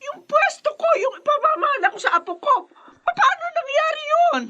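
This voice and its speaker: A woman's voice: extremely high pitch, very animated, moderate pace, dull audio, noisy background. An actress playing a distressed elderly mother and grandmother in a Filipino radio drama, pleading with tearful emotion in a Filipino accent. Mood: panicked pleading.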